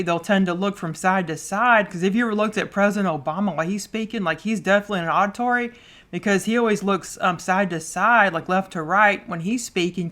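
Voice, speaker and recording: A woman hosting a solo podcast in a casual way, loud and fast, with frequent stumbles and repeated words. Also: on the low side. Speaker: podcast host.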